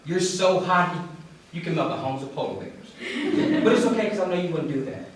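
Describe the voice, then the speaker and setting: A man's voice: sits high, animated, normal speed. A man reading aloud with dramatic and comic delivery as a performer at a wedding. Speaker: reader at a wedding reader's theater. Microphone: distant from speaker. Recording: echoey room, distant mic, faint noise.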